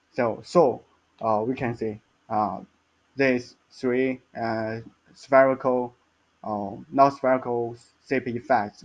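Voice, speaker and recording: Guy presenting research slides, kinda halting, keeps stumbling n repeating, dull lo-fi sound. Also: medium pitch. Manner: halting, hesitant delivery with frequent stumbles and repeats.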